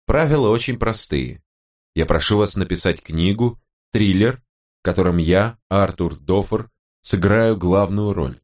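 A man's voice: low voice, measured pace, darkish tone. A man voicing a courteous, self-assured, vain old letter writer in an audiobook, genteel and persuasive. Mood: courteous, pleased. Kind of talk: audiobook reading of a character's letter.

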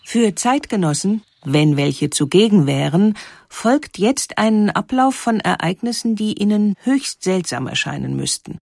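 A woman narrating a scripted historical story in a measured, articulate way. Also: medium pitch, very animated, gravelly, darkish tone, no echo, studio quality. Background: music under the voice.

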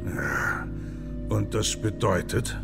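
Gravelly, dramatic male religious reformer. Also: low voice, lively intonation, slow, over music, exaggerated voice. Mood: grave, probing. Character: embattled male religious reformer, sharp and bitterly ironic. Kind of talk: German radio play acting.

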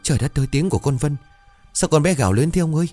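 A man's voice: fairly high pitch, animated, racing, warm tone, soft background music, pitched above the performer's own voice. A man voicing a panicked middle-aged mother who wails and sobs over her son. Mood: frantic. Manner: frantic, wailing, sobbing.

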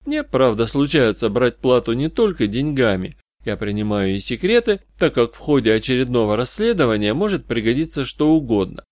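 Male narrator for fantasy detective fiction, mid-range pitch, measured storytelling, very dry studio sound. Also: big pitch swings, a little mellow, dry recording. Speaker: audiobook narrator. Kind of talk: scripted first-person detective fantasy narration.

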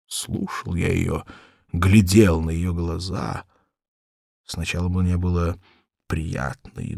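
A man narrating a first-person story as an audiobook, theatrical and wry, with deliberate pauses. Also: low voice, creaky, a little mellow, husky, no room sound, clean studio sound. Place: studio.

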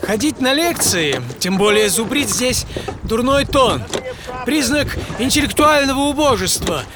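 A man's voice: extremely high pitch, expressive, a little slow, noisy background, voice pushed up. A man playing a witty, ironic English physicist reflecting on his life in a theatrical radio monologue. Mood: languid, snobbish. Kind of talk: dramatised first-person monologue, radio play.

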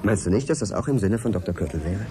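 An actor playing an inquisitive, courteous middle-aged journalist in a naturalistic radio drama, probing and earnest in conversation. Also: male voice, lowish voice, animated, fast, close to an everyday voice. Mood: earnest, determined. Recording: crowd chatter behind, café scene. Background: café crowd chatter.

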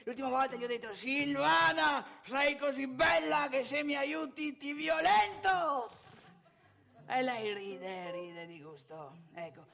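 Casting: actress as randy old man, high-pitched and gravelly, boastful radio-theatre monologuist who prods the listener. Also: slow, fairly bright tone, heavily acted. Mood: lusty, affectionate. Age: older. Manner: rambling, emphatic, addresses listener with rhetorical questions. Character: lusty, nostalgic, boastful old Italian man.

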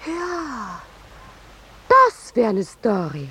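An actress playing a flighty, eager newspaper reporter in a 1950s radio play, gushing and flippant in a husky voice. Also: female voice, fairly high pitch, huge pitch swings, very slow, sharp tone, heavily acted. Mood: gushing, gleeful.

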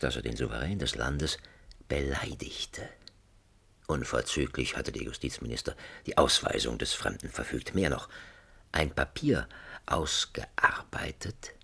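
A man narrating a satirical radio drama as a wry, mock-solemn storyteller, with a gravelly, husky voice. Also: extremely low pitch, fairly bright tone, full character voice. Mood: dry, ironic. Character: wry, leisurely male storyteller of a satirical fairy tale. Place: radio studio.

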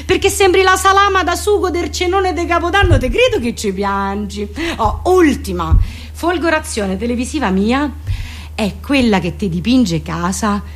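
A woman with a Roman accent performing a theatrical comic monologue, with deadpan, mock-solemn irony and colloquial turns. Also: sits high. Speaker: comedian, actress performing her own monologue.

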